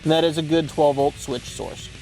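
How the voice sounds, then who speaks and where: A man's voice: fairly high pitch, level tone, normal speed, darkish tone, soft background music, poor audio. A man hosting an instructional car installation demonstration, explaining step by step in plain-spoken language. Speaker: host of car installation how-to videos.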